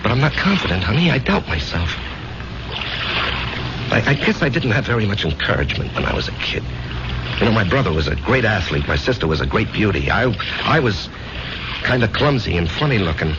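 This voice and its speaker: An actor playing an overworked, self-doubting salesman and war veteran in a 1970s radio drama, with an intense, halting delivery that repeats phrases. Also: fairly low pitch, very animated. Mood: wistful, confiding. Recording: noisy, muffled 1970s radio drama recording.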